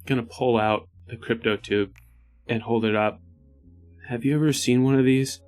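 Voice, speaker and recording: A man's voice: medium pitch, steady tone, moderate pace, a little mellow. A man playing a tabletop game, talking through his character's moves in a casual, conversational way. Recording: music behind. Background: background music.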